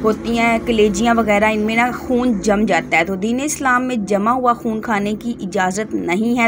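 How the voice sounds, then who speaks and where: A woman with a Pakistani accent narrating a cooking vlog as host, giving step-by-step instructions and repeating key words for emphasis. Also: fairly high pitch. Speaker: home cook and cooking channel host. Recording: clearly noisy home recording.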